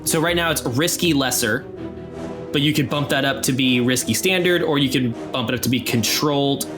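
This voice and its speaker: Male game master over clear music. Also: medium pitch, brisk. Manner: conversational, explanatory. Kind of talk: narrating and explaining a tabletop game.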